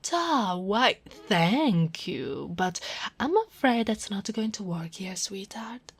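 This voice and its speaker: Woman acting slow, teasing, smug female sheriff. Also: mid-range voice, sing-song. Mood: mocking, threatening. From Italy. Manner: sultry, teasing, breathy drops into whispers. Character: teasing, smug, flirtatious female sheriff gloating over captive. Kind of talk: ASMR roleplay, scripted acting.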